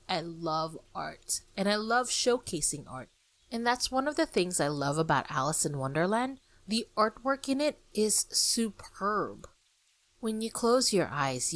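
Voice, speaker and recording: A young woman hosting a podcast, chatting with warm enthusiasm and lifting her voice for emphasis. Her voice sits a touch low. The sound is close and dry, with no room around it. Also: no echo. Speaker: podcast host. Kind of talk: casual podcast discussion.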